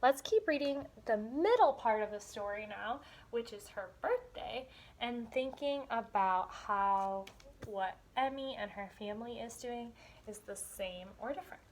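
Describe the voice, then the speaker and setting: Female, kindergarten teacher, high-ish voice, instructional question-and-answer read-aloud style, slight noise on the recording. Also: animated, a little slow, low-quality recording. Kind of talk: read-aloud lesson with questions for young children.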